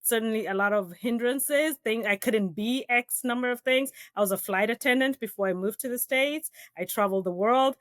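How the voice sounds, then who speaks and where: A woman's voice: on the high side, normal speed, few pauses, a bit bright, no echo, studio quality. Candid Kenyan-born woman guest. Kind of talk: interview conversation.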